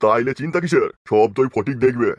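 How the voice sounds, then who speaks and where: A man's voice: mid-range voice, fast, dry recording, clean studio sound, close to an everyday voice. A man voicing a crude, impatient, lecherous village chief in a comic cartoon. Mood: lustful, eager.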